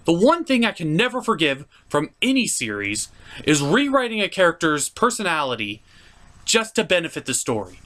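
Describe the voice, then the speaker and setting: A young man hosting a comedic cartoon review, theatrical and opinionated as he riffs. His voice sits a touch high and swings widely in pitch. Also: fairly bright tone.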